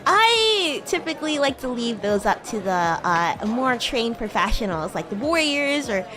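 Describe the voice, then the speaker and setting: A woman voicing a bratty, playful young demon schoolgirl in chatty livestream roleplay, with a teasing, giggly, halting manner. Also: on the high side, big pitch swings. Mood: curious, animated. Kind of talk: improvised in-character livestream roleplay chat. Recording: music and crowd murmur behind. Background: music and crowd ambience.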